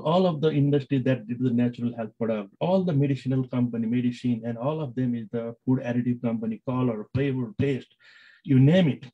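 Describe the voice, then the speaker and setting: A man with a Nepali accent answering interview questions in halting English, full of fillers and restarts. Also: mid-range voice, mellow, husky. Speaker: business executive guest. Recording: dull lo-fi sound.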